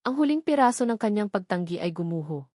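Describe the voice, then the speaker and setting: A woman's voice: medium pitch, animated, quick pace, darkish tone, no echo, studio quality. Filipino woman narrating a dramatic love story.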